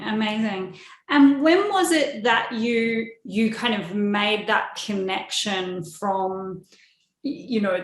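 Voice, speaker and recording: Woman podcast host, unhurried and encouraging, roomy echo on her audio. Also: mid-range voice. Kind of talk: podcast interview.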